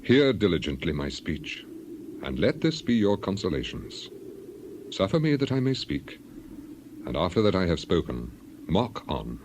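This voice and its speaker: A man's voice: deep voice, very animated, a little slow. An actor playing a bitter, defiant, suffering man of ancient times in an impassioned scripture dramatization. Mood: bitter, pleading. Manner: impassioned, declamatory. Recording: clearly noisy background.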